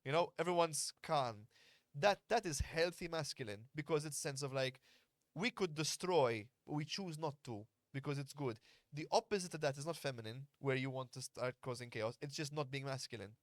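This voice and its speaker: A man's voice: a little high, normal speed, no room sound. Blunt man in a dry room. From Malta. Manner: blunt, earnest, explains at length, swears freely. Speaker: podcast host and fight coach. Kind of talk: casual podcast conversation.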